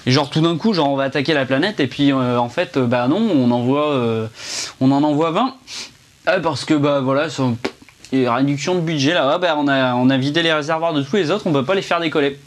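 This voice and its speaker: Chatty, fairly high-voiced young man. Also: brisk. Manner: rambling and chatty, many fillers and restarts. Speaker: film reviewer. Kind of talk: casual spoken film review. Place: indoors under a ring light.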